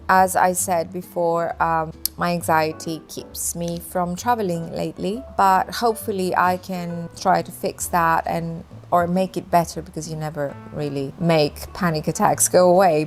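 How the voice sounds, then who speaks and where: A woman in her fifties, a native Greek speaker, answering viewer questions in a gentle, chatty, reflective way. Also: fairly low pitch. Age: 50s. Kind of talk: casual Q&A chat.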